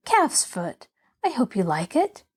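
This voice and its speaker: Woman voicing an eager, high-pitched chattering little girl. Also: big pitch swings, moderate pace, a little mellow. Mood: eager, glad. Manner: eager, rambling, artless. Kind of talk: children's storybook character voice.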